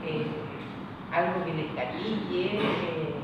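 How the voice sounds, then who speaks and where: A woman's voice: fairly low pitch, slow pace, highs cut off. A Chilean woman informally moderating a public discussion and inviting participants to comment. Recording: reverberant hall, distant mic, loud noise.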